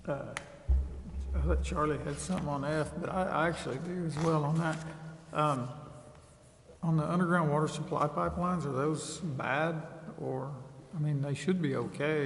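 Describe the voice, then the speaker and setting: A man's voice: a little high, fairly even intonation, moderate pace. A man on a city council asking questions casually, with hesitant phrasing. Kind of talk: casual questioning in a public meeting. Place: large indoor council chamber. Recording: echoey hall, faint background noise.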